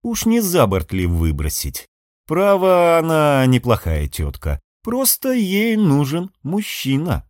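A man voicing a sixty-year-old ship's doctor in an audiobook, dryly ironic, with a measured clinical manner. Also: fairly high pitch, wildly swooping pitch, a little mellow, dry recording, clean studio sound, exaggerated voice. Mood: wry.

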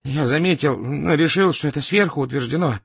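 A man's voice: fairly high pitch, fairly quick, gravelly, slightly rough, no room sound, pitched above the performer's own voice. A man reading an audiobook as an anxious, fawning male manager who placates and makes excuses. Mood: defensive. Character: nervous middle-ranking male manager, fawning and defensive.

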